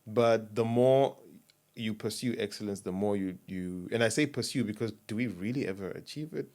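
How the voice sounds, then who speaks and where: A man from Zimbabwe, a sound engineer, talking as a podcast guest in a reflective, measured way in a studio. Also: fairly low pitch. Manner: reflective, measured, trails off softly. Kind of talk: casual interview chat.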